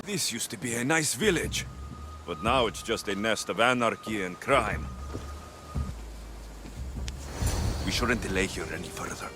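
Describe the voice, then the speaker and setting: An actor playing a cocky young Greek mercenary in a video game, wry and self-assured. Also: male voice, on the low side, lively intonation, normal speed, music in the background, lightly acted. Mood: matter-of-fact. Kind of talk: video game cutscene acting.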